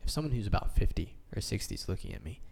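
Deep, mellow man in his twenties. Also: huge pitch swings, racing, vocal fry. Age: late 20s. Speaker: discussion panelist. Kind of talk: casual explanatory talk.

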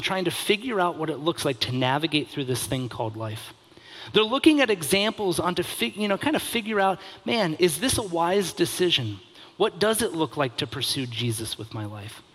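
A man's voice: a little high, fairly bright tone, low-quality recording. A man in his thirties giving a conversational sermon as a pastor, earnest, with rhetorical questions and emphatic repetition.

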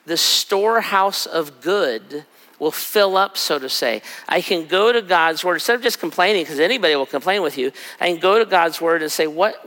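A middle-aged man preaches in a relaxed, conversational way, now and then slipping into quick acted-out asides. His voice is raspy and bright, a little higher than most men's. A long reverb from the hall trails behind every phrase. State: raspy from a cold trip. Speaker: pastor. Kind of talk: sermon.

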